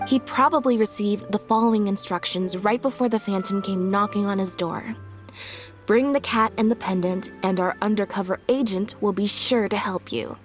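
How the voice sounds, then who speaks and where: Composed game detective, played by a woman, mid-pitched, measured and incisive, faint music behind. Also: natural voice. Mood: calm deduction. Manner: measured, explanatory. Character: composed, sharply logical female detective exposing a scheme. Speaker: detective character. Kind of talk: video game story voice acting.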